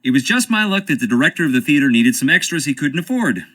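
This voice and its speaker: A charming, evasive American stage actor in a mystery game, his bright voice swinging up and down with theatrical flair. He answers with wry deflection and playful drama. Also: fairly high pitch, big pitch swings, quick pace, heavily acted. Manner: wry, theatrical, dodging questions. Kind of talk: video game voice acting.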